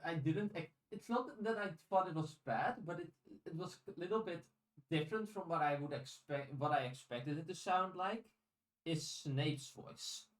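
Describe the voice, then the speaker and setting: A man's voice: a little high, moderate pace, recorded from a distance, rough recording. A man hosting a podcast in casual conversation, thinking aloud analytically and often restarting his phrases. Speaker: podcast host. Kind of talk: casual chat.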